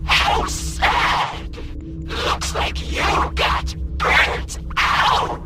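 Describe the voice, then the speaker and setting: Slow, sinister fiery pumpkin animatronic. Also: female voice, heavy reverb, heavy background noise. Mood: menacing, taunting. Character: menacing fiery female jack-o'-lantern animatronic monster, taunting and sinister. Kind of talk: scripted horror video game villain lines.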